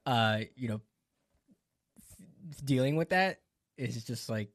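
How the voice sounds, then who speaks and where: A man's voice: mid-range voice, animated, slow pace, no echo, clean studio sound. Laughing man retelling film scenes. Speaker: film discussion co-host. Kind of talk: casual film discussion.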